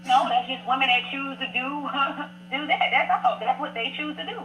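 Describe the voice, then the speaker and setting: A woman's voice: sits high, moderate pace, muffled recording. A woman chiming in as a co-host in a casual chat. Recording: roomy echo.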